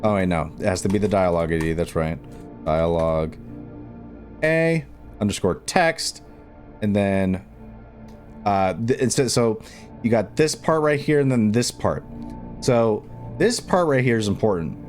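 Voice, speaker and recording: A man's voice: lowish voice, animated, a little slow, long pauses, darkish tone. A man hosting a casual step-by-step tutorial, thinking aloud informally and repeating instructions as he works. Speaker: tutorial host. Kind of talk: casual step-by-step screen tutorial, talking while working. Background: background music.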